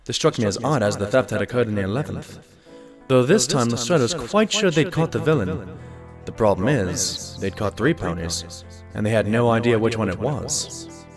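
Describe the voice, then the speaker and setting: Male actor as wry pony doctor, lively storytelling, mid-pitched voice, audio drama, clear music bed. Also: moderate pace, lightly acted. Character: genial doctor pony, detective's companion and narrator. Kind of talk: storybook-like first-person narration in audio drama. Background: background music.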